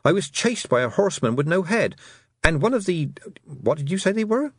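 Male narrator playing a brave little storybook girl, lighter and higher, direct, urgent and headstrong. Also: big pitch swings, a little fast, slightly rough, no echo, clean studio sound, heavily acted. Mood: bewildered, demanding. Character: brave young girl, bewildered but stubborn.

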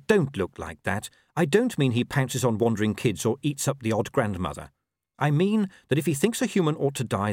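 A storybook werewolf woman read by a man talks in a lively, lilting way. She is blunt, guarded and exasperated, laced with dry sarcasm. The sound is close and free of echo. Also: mid-range voice. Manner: dry, sing-song sarcasm. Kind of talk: audiobook character voice.

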